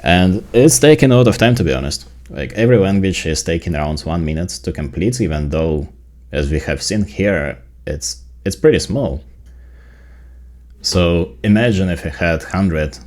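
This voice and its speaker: A man with a Ukrainian accent, a native Ukrainian speaker, narrating a casual software tutorial in an explanatory way, stressing his key points. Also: very deep voice, moderate pace. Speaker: tech video creator.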